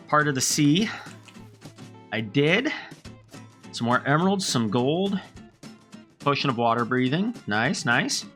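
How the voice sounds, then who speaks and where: A man with a slight head cold, narrating his own game playthrough in a friendly, relaxed way. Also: mid-range voice. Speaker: let's play host. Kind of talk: gameplay commentary.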